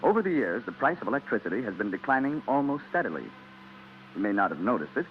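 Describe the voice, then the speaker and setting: American male 1940s radio announcer, conversational sponsor pitch, very animated intonation, old noisy broadcast sound. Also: quick pace, narrow, tinny audio. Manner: conversational, rhetorical questions to listeners.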